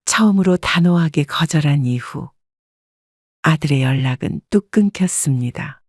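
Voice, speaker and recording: Calm woman narrating a reflective story. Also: sits low, moderate pace, dry recording, studio quality. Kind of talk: scripted first-person story narration.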